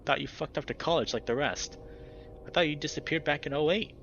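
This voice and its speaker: A guarded, foul-mouthed young bat who spits out short, clipped lines, as a man puts him on. The voice sits higher and a touch louder than the reader's own, on a slightly muffled recording with faint hiss. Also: fairly quick. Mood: hostile. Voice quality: higher-pitched, clipped, swearing.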